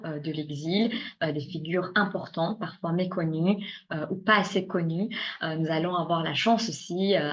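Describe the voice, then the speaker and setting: A woman from Iran, a native Persian speaker with a Belgian French accent, presenting her course as a lecturer and pausing often on filler sounds. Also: deep voice, muffled recording. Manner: hesitant, frequent filler sounds. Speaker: university lecturer.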